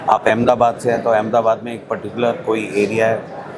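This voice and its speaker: A man's voice: on the low side, flat delivery, a little fast. A man speaking as a distributor, explaining technical details informally and switching often between Hindi and English. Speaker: product distributor, interview guest. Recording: background crowd chatter.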